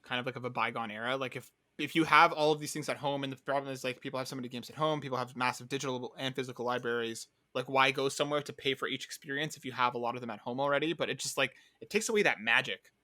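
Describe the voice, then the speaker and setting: A man's voice: mid-range voice, quick pace, few pauses, bright, forceful tone, no room sound. A man hosting a casual gaming podcast, chatting in a conversational, opinionated way. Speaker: podcast host.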